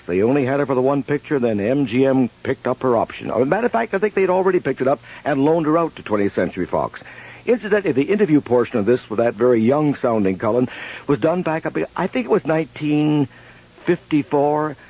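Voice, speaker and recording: A man's voice: mid-range voice, animated, brisk, darkish tone, poor audio. A middle-aged man hosting a radio show, reminiscing in a conversational, anecdotal way. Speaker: radio host. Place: radio studio.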